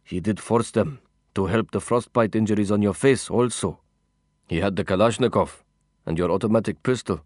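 A man voicing an earnest, solicitous male war comrade who speaks in halting, non-native phrasing in an audiobook story. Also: fairly low pitch, darkish tone, dry recording, studio quality, lightly acted. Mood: soft, earnest. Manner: halting foreign-learner phrasing.

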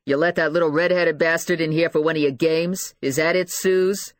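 A woman's voice: very deep voice, sing-song, normal speed, dry recording, studio quality. A woman voicing an aggressive, tough male police investigator in an audiobook, blunt, slangy and goading. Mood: angry, accusing. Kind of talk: dramatised audiobook dialogue.

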